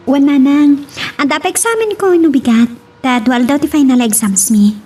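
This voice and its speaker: A woman's voice: sits high, moderate pace, darkish tone, close to an everyday voice. A woman playing an earnest young female student who works in a bar, in an Ilocano drama. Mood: respectful. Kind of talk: Ilocano radio-style drama acting. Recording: clear background noise.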